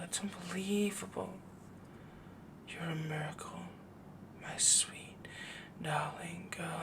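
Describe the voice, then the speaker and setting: A man voicing a doting, possessive young wolf boy mate in a soft, intimate, breathy whisper for an ASMR boyfriend roleplay. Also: fairly high pitch, background noise. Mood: coaxing, doting. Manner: slow, intimate, cooing, rambling devotion.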